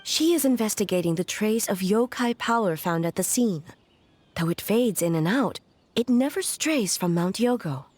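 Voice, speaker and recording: A woman voicing a dignified, solemn noblewoman in a video game, speaking in a formal, measured and composed way. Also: mid-range voice, animated, darkish tone, lightly acted. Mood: composed, businesslike. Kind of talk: video game character acting. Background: background music.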